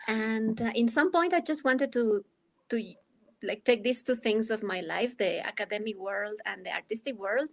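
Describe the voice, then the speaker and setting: A woman's voice: fairly high pitch, moderate pace, gravelly. A woman from Ecuador with an Ecuadorian Spanish accent, answering interview questions in a reflective, hesitant way as she searches for words. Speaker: guest, dance artist and anthropologist.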